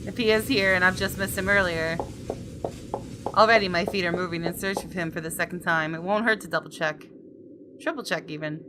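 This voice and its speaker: A woman's voice: fairly low pitch, fairly quick, noisy background. A woman hosting a gaming video, reading a visual novel aloud expressively and adding casual asides.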